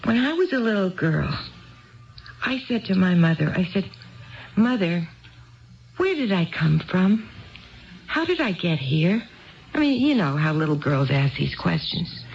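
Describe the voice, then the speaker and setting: A woman's voice: on the low side, big pitch swings, measured pace, long pauses, darkish tone, slight background noise. An actress playing an earnest, tipsy married woman who rambles wistfully in a 1970s radio drama. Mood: reflective. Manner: wistful, digressive, repeats herself. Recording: dull lo-fi 1970s radio broadcast.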